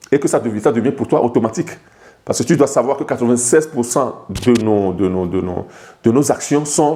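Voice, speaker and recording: A man's voice: medium pitch, dark tone. A man from Togo, a life coach, explaining ideas to the viewer in an informal video lesson, talking familiarly and stumbling over repeated words and restarts. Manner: addresses the viewer directly and familiarly.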